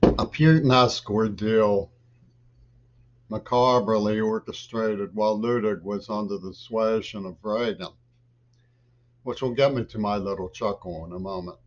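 Older man ranting slowly at a webcam. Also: lowish voice. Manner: agitated, lurching between loud bursts and mutters. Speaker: vlogger. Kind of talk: rambling political monologue to camera. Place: small home office with bookshelves, indoors. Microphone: webcam at desk. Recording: dull lo-fi webcam audio.

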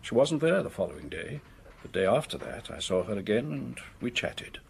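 A man's voice: fairly low pitch, very animated, moderate pace, vocal fry, slight background noise. A man acting in a radio drama as a lonely, anxious, respectable office worker with a hesitant, formal way of speaking. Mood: earnest reminiscence.

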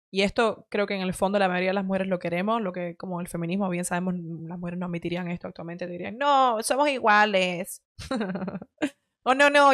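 Woman with a light Cuban accent, rapid opinionated chat, dry close studio mic. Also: mid-range voice, dry recording. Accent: light Cuban Spanish. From Cuba. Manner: quick, chatty, opinionated, frequent self-corrections. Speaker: host reacting to clips. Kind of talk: casual commentary. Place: home studio room with shelves. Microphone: headset with studio mic on arm.

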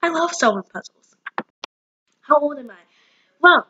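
A woman's voice: sits high, lively intonation, measured pace, pushed and bright, muffled recording, a little hiss or hum. 19 yr old girl on a webcam in her room, bubbly chatty vlog, sings bits now and then. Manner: bubbly and chatty, breaks into sung phrases. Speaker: vlogger. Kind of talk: casual vlog chat. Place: small indoor room at home, on a sofa.

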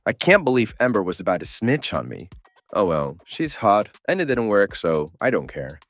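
A man's voice: fairly low pitch, very animated, moderate pace, no echo. A man voicing a laid-back teenage boy who teases his friend. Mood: teasing. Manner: teasing, joking.

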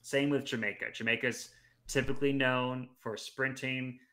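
A man in his thirties talks through sports standings in a casual, chatty way, often restarting his phrases. His voice is bright and crisp at an average pitch. He speaks into a headset at home. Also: flat delivery, normal speed. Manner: talkative, analytical, restarts mid-phrase. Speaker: podcast guest.

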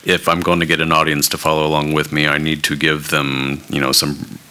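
Brief: deep-voiced male guest, hesitant and monotone, bright edge. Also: clean tone. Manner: halting, repeats words, self-described monotone. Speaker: guest cartoonist. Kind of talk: live panel conversation. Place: large hall stage.

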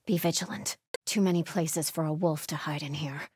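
A devout female cult sentry from a video game. Her voice is lowish and husky, solemn and watchful, with measured, pious phrasing and a dry, close sound. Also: darkish tone, no echo. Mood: solemn vigilance. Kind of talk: video game ambient dialogue.

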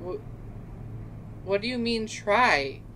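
A woman's voice: mid-range voice, expressive, slow pace, bright, forceful tone, slight background noise, poor audio. A woman playing a caring but hurt, blunt young woman in a fan dub drama, speaking directly and earnestly. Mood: puzzled.

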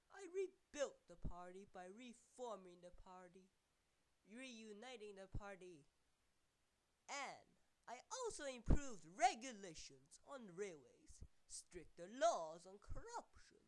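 A woman's voice: on the high side, darkish tone, rough recording. A woman playing a proud, formal elderly male statesman in a theatrical, declamatory historical monologue. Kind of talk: scripted first-person historical monologue.

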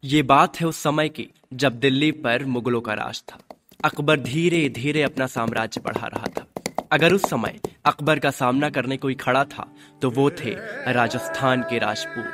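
A man's voice: medium pitch, unhurried. A man narrating a scripted radio drama as its storyteller. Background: music.

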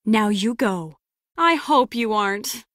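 A woman's voice: medium pitch, animated, slow, a bit bright, dry recording. An actress playing a hard-nosed, sardonic female spy in a video game, with a dry, wry manner. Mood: guarded, wry.

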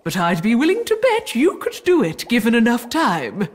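A woman's voice: on the high side, wildly swooping pitch, moderate pace. An actress playing a regal, exasperated queen in a comic audio drama. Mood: regal, encouraging. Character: exasperated, domineering queen, eager and encouraging.

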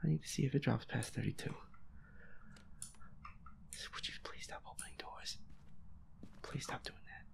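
A man playing a feisty boyfriend in a roleplay audio, muttering whiny, sweary complaints over a scary video game in a breathy half-whisper. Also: high-pitched. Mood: nervous, pleading. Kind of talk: roleplay audio, improvised gaming chatter.

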